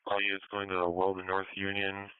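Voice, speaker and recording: A man's voice: deep voice, monotone, fairly quick, clear, resonant tone, no room sound, narrow, tinny audio. A man delivering terse emergency radio traffic through a two-way radio, heard on a scanner feed. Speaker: emergency services radio operator.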